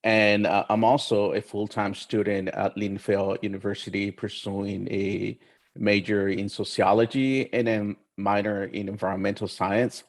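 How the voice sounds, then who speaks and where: Measured, earnest man, dry close sound. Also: lowish voice, flat delivery, no echo. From Oaxaca, Mexico. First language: Mixtec. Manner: measured and earnest, with frequent pauses and fillers. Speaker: community educator and roundtable panelist. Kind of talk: roundtable presentation.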